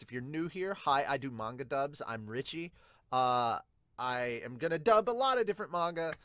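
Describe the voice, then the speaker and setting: A man's voice: a little high, fairly bright tone, dry recording, clean studio sound. A man hosting a podcast in a casual, chatty way, giving intro talk and reacting aloud as he goes. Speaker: podcast host, manga dubber and reviewer.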